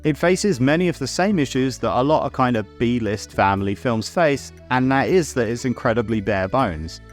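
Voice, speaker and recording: A man's voice: medium pitch, lively intonation, normal speed, soft background music. A man narrating a scripted video essay as host, casual and opinionated, with wry asides and the odd swear word.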